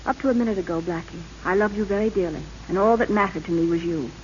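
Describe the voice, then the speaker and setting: A woman's voice: mid-range voice, fast, a little mellow, lightly acted. An actress playing a wistful, sincere young woman in an old radio drama, with earnest theatrical delivery on a muffled, hissy recording. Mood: resolute, hurt. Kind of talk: 1930s-40s radio drama acting.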